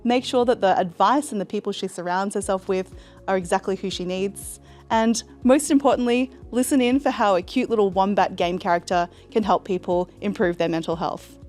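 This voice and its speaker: A woman's voice: mid-range voice, normal speed, darkish tone, faint music behind. Encouraging woman podcast host. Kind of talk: hosted interview.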